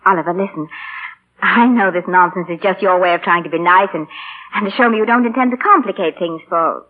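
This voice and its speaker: A woman's voice: fairly low pitch, brisk, lightly acted. An actress playing a passionate, strong-willed young woman with an intense, imploring manner in a 1940s radio drama. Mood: composed, guarded. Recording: thin, muffled 1940 radio broadcast.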